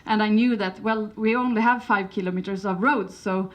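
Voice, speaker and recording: A woman's voice: mid-range voice, fairly even intonation, moderate pace. A woman from Sweden with a Swedish accent, giving a conference presentation in English, hesitant, with many fillers and restarts, and a light humour. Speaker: conference speaker.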